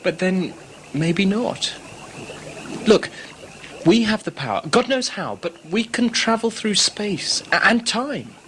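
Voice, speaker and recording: A man acts a sceptical, talkative adventurer in a sci-fi audio play. His voice is fairly high and very lively, with hesitant, questioning phrasing. The recording is clearly noisy. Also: slow pace, full character voice. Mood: doubting, agitated. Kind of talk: sci-fi audio drama acting.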